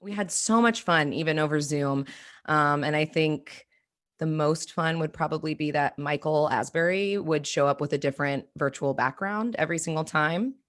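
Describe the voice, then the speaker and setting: A woman with a low-pitched voice chats casually, as an actor recalling a production. The delivery is informal and conversational. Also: moderate pace. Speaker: audio play actor. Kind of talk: casual interview.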